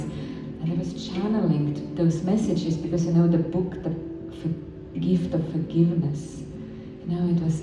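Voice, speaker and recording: A woman whose first language is Estonian, a poet speaking slowly and dreamily, with many pauses, at a live reading. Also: fairly low pitch. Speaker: poet reading at a poetry night. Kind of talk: spoken introduction and poetry reading. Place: live event hall with handpan music. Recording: distant, reverberant, dull hall recording.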